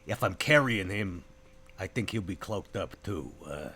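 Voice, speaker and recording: A man's voice: low-pitched, animated, unhurried, pushed and bright, a little hiss or hum. A man playing a tabletop roleplaying game, casually describing his character's actions in colloquial, offhand speech.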